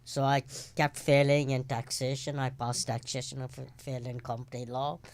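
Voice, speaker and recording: An older man from Kenya, a guest actor in a casual interview, telling stories with dry, deadpan jokes and short playful answers. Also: mid-range voice, moderate pace, no echo.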